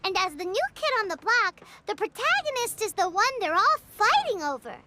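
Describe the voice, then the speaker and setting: A woman voicing a tiny, excitable childlike fairy companion in a video game, squeaky and bubbly. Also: normal speed, background noise. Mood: eager pitching. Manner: speaks of self in third person, bubbly. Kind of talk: video game character acting.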